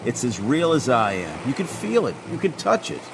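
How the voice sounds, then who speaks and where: A man's voice: medium pitch, wildly swooping pitch, moderate pace, background noise. A man playing a skeptical airport official in a radio drama, blunt, emphatic and insistent. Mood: incredulous. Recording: music behind the voice.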